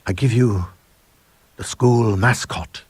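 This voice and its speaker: A man's voice: fairly low pitch, slow, darkish tone, slightly rough, no room sound, pitched above the performer's own voice. A man voicing a proud, sinister older school headmaster in a supernatural tale, pompous and theatrical in his delivery. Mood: quavering pride. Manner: theatrical, declamatory, grandiose.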